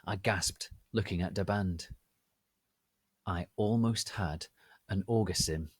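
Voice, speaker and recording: A man narrating a story as a dramatic reading, in a deliberate and theatrical manner. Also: sits low, husky, no echo.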